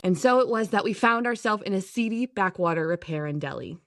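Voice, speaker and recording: A woman's voice: mid-range voice, animated, brisk, dry recording, studio quality, natural voice. A woman playing a grudging, exasperated young daughter and mechanic in an audio drama, with a sarcastic, grumbling manner. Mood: wry. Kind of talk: audio drama acting and narration. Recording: music under the voice.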